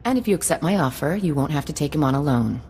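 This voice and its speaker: An actress playing a poised, persuasive senator in a video game, speaking formally. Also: female voice, low voice, expressive, fast talker, mellow, a little hiss or hum. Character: poised, persuasive female galactic senator, adventurous. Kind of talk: video game cutscene acting.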